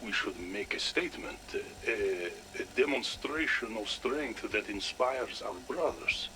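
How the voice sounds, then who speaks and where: An actor playing a dutiful Soviet military subordinate, speaking deferentially and hesitantly in a game audio log. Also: male voice, on the low side, lively intonation, unhurried. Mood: deferential, earnest. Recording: noisy radio-style audio log.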